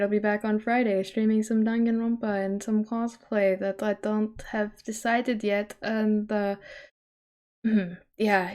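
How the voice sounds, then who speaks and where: A woman hosting a livestream, chatting casually in an expressive, exclamatory way. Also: mid-range voice. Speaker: livestream host.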